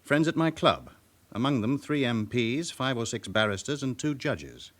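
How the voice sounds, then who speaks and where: A man's voice: mid-range voice, lively intonation, normal speed, fairly bright tone, lightly acted. An actor playing a respectable businessman with a past in a radio drama, speaking formally, with controlled and faintly haughty pride. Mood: firm, indignant. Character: smooth respectable businessman with a past, guardedly proud. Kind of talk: naturalistic radio drama acting.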